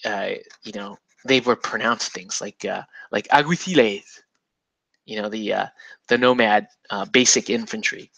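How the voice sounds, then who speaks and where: A chatty man hosting a model-building show, with a bright, forceful tone. He rambles and jokes along, full of "uh" and "like," and the sound is dry and close, with no room echo. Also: fairly low pitch, normal speed, gravelly. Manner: rambling and jokey, with lots of fillers; breaks into laughter and puts on silly voices. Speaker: miniatures hobby host. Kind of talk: casual chat while building models.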